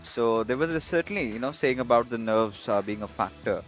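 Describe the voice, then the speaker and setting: A man's voice: medium pitch, lively intonation, normal speed, faint music behind. A man doing live esports co-commentary, hyped and full of fillers, at times slipping into Hindi.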